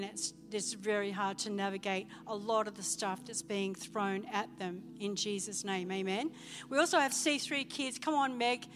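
A woman pastor giving enthusiastic church announcements from the pulpit, emphatic and calling out to the congregation. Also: mid-range voice. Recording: reverberant church hall with music behind.

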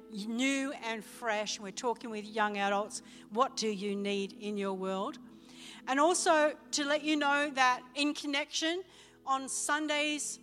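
A woman's voice: a little high, a bit bright. A woman pastor giving enthusiastic church announcements from the pulpit, emphatic and calling out to the congregation. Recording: reverberant church hall with music behind.

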